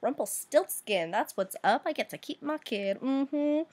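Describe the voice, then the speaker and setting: A woman's voice: high voice, lively intonation, a little slow, darkish tone, slight background noise. A woman voicing a young miller's daughter from a fairy tale, reluctant but defiant, in modern slangy speech. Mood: smug.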